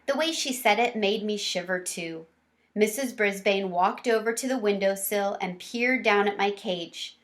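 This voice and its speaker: A woman reading a children's storybook aloud in an expressive, animated way. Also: mid-range voice, measured pace. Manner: animated, with varied character lines. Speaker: read-aloud teacher or storyteller.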